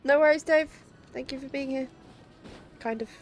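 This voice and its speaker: A woman's voice: high voice, moderate pace. A woman streaming a video game, giving casual live commentary as she plays. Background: faint game music.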